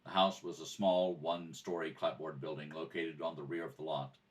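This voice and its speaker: A low male voice of a man acting as a police detective in a radio play. He is deadpan, terse and all business. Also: moderate pace, distant mic. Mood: matter-of-fact. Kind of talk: amateur radio drama read-through with clipped narration.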